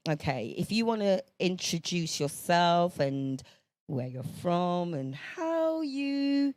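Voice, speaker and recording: A woman's voice: lowish voice, big pitch swings, slow pace, a little mellow, no echo, studio quality. A woman hosting a podcast interview, effusive and encouraging toward her guest. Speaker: podcast host. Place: podcast studio.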